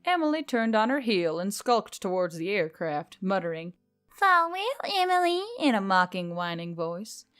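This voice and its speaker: A woman's voice: lowish voice, moderate pace, hardly any pauses, smooth. A woman reading scripted fiction as an audiobook narrator. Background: music.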